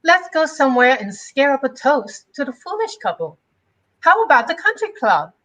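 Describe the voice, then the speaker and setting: A woman's voice: high voice, very animated, moderate pace, a bit bright. Woman playing a swooping, catty young socialite gossip. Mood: breezy. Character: catty young society friends, gossipy and snobbish. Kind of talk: amateur dramatic reading of a 1950s romance comic.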